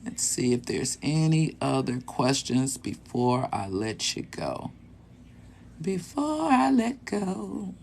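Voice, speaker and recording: A man in his late fifties talks casually to his live-stream viewers from a small closet. He hosts as a sexologist and rambles along, pausing on fillers and restarting sentences. His pitch sits in an ordinary middle range for a man. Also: wildly swooping pitch, vocal fry, a little mellow, slight background noise. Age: 50s. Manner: easygoing and rambling, with many ums and restarts.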